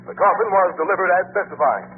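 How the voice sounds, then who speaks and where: Over a thin vintage radio band, a male newscaster's report in 1940s style. The voice is high and very fast, with animated swings. It is declamatory and sensational. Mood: urgent, sensational. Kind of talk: 1940s radio news announcing. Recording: tinny old radio broadcast, faint noise.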